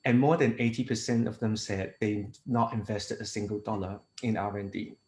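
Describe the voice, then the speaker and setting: A man's voice: fairly low pitch, fairly even intonation, moderate pace, darkish tone, echoey room. A man from Hong Kong who works as an international technical advisor, giving a conference presentation with many fillers and restarts. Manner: hesitant, frequent fillers and restarts.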